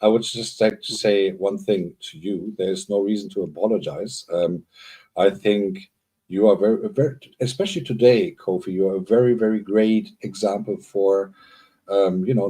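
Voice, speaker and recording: A man's voice: lowish voice, dark tone, breathy edge. A man co-hosting a livestream, chatting in a rambling, friendly way with guests. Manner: rambling, friendly, rising emphasis. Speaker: livestream co-host. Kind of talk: casual livestream chat.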